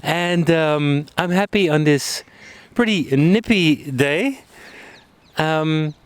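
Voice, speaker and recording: A man hosting a podcast interview on an outdoor bench, friendly and hesitant, with many pauses and fillers. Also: a little high, a little hiss or hum. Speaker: podcast host. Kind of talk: casual interview.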